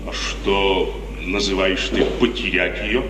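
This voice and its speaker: A man's voice: sits low, steady tone, slow pace. An actor playing a stern, proud, suspicious middle-aged father in a declamatory 1950s Russian radio drama. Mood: indignant. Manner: declamatory, emphatic. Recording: noisy, reverberant 1950s radio recording, distant.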